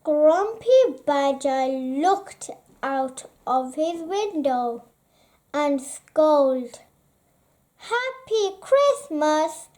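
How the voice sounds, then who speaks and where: A woman reading a children's bedtime story aloud in an expressive storytelling style. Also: high voice, extremely slow.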